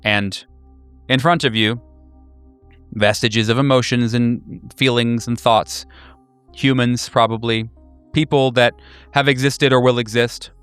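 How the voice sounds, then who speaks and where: A man running a horror tabletop game, narrating atmospherically in a measured, deliberate way. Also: mid-range voice, fairly even intonation, fairly bright tone, clean tone, no echo, soft background music.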